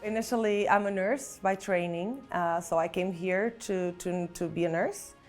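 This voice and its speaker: Portuguese-accented woman, reflective and unhurried. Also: lowish voice. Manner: reflective, frequent restarts and fillers. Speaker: nurse. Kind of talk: personal testimony interview. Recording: faint background music.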